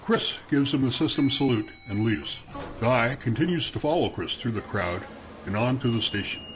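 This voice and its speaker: A man narrating a radio drama in a steady, measured tone. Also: medium pitch. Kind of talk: scripted narration.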